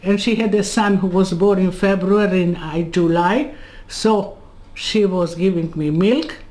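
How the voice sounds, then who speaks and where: A woman in her eighties from Serbia, telling her life story in an interview, in halting non-native English full of asides. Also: mid-range voice, darkish tone. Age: 80s. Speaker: Holocaust survivor interviewee. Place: home room lined with bookcases. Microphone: webcam on a video call.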